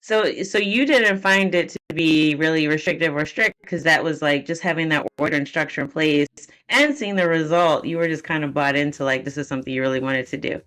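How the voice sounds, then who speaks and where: A woman's voice: low voice, fairly quick, hardly any pauses, fairly bright tone. A woman hosting a podcast, asking loose, friendly interview questions with fillers. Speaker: podcast host.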